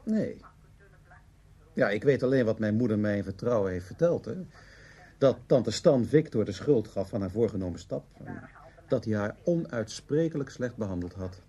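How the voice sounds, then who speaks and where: A man's voice: lowish voice, lively intonation, normal speed, mellow, husky. A man acting in a radio play as a gossipy, evasive old student friend, grumbling, hesitant and defensive. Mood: dismissive. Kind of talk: radio drama dialogue.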